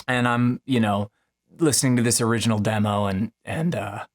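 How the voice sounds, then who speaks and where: A man who is a musician, casually and haltingly telling how a song was made, in a rambling conversational way. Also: fairly low pitch, steady tone. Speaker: musician, singer-songwriter. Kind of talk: casual storytelling about making a song. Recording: music playing behind the voice.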